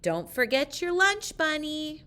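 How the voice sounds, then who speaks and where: High, sing-song silly schoolteacher, played by a woman. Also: big pitch swings, unhurried, bright, forceful tone, full character voice. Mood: playful, sing-song. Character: silly, cheerful woman schoolteacher who mixes up words.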